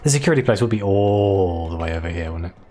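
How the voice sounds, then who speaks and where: A man playing through a game and commenting wryly, his voice hoarse from a recent cold. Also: sits low, lively intonation, fast, mellow. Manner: wry, amused. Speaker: gaming video host. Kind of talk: gameplay commentary.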